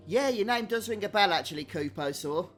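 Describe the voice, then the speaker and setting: A male game streamer giving casual live commentary. His voice is a little higher than average, and he talks chattily to his viewers. Faint music plays behind him. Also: expressive, fairly quick, a bit bright.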